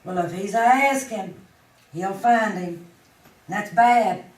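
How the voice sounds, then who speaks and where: A woman's voice: medium pitch, slow pace, close to an everyday voice. An actress playing a weary, plainspoken older frontier woman with a rural Southern American hill accent, curt and blunt, in a 1950s Western radio drama. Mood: grim, worried. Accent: American rural Southern hill country. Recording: roomy, distant, hissy old radio recording.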